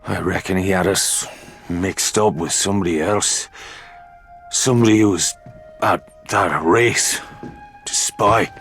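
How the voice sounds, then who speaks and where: A male actor playing a tough, sardonic, vengeful Irish mechanic in a video game, with an Irish accent and a gruff, defiant manner. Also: low-pitched, steady tone, measured pace, pauses often, fairly bright tone, full character voice. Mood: wry, guarded. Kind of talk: dramatic video game acting. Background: music.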